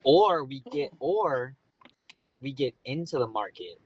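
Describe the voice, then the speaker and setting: Young male phone guest, mid-range pitch, loose casual talk, muffled lo-fi call sound. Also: lively intonation, slow pace. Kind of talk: casual chat.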